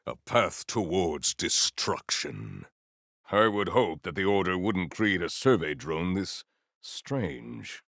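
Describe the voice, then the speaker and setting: An actor voicing a proud, honourable video game warrior who speaks in a formal, archaic, solemn and theatrical way. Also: male voice, sits low, creaky, a bit bright, no room sound, studio quality, full character voice. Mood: grave, resolute. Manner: formal, archaic, grandiose phrasing.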